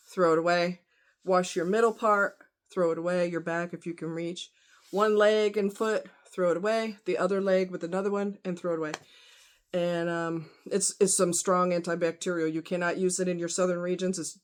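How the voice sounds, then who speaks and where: A woman vlogger chatting casually to her audience in a rambling, conversational way with frequent asides. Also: on the low side. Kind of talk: casual chat to camera.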